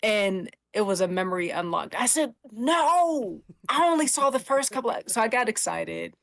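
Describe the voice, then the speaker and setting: A woman's voice: fairly high pitch, very animated, moderate pace, vocal fry, fairly bright tone, no echo, studio quality. A woman podcast panelist chatting casually and emphatically as a fan. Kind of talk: casual chat about a TV show.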